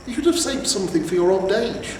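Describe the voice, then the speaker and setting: A man's voice: high voice, expressive, fairly quick, a little mellow, distant mic, voice pushed up. A man voicing a nagging, cautious talking horse in a fantasy storybook reading. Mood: coaxing. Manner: nagging, questioning. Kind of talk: acted character dialogue in a fantasy storybook reading. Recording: very echoey reverberant room, faint noise.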